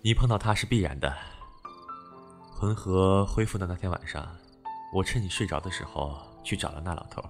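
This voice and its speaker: Man playing an aloof, very low-voiced spirit lover. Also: moderate pace, gravelly, soft background music. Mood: cool, teasing. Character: guarded, teasing immortal-spirit man, aloof but devoted. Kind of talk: Chinese costume audio drama acting.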